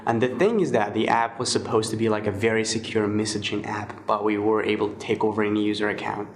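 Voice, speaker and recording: A man in his twenties from Morocco, answering interview questions in a relaxed way with many fillers and restarts. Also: lowish voice, quick pace, a little hiss or hum. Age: 20s. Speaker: guest, security researcher.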